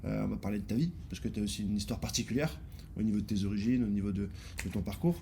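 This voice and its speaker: A man's voice: on the low side, rapid-fire, vocal fry, mellow, slight background noise. A man hosting an interview in a casual, informal way, with many fillers and frequent short acknowledgments. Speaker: interview host.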